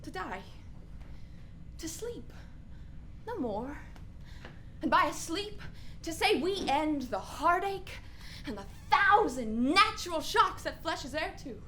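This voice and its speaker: A woman playing an anguished, brooding young prince in a Shakespearean radio play, speaking in halting, deliberate soliloquy. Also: very high-pitched, sing-song, fairly bright tone, a little hiss or hum, heavily acted. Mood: brooding, despairing.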